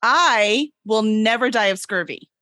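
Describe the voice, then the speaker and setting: A woman's voice: fairly high pitch, unhurried, sharp tone, no echo. A woman chatting casually as a guest on a podcast. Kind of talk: casual chat.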